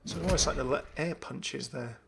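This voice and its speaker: Male gaming video host, enthusiastic and casual, medium pitch with noticeable vocal fry. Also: normal speed, noisy background. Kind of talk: casual commentary on a game update.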